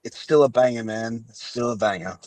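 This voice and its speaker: A man's voice: mid-range voice, a little fast, darkish tone. A man hosting a casual podcast interview, chatty and often echoing back what his guest has said. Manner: chatty, rephrases and echoes guest's answers.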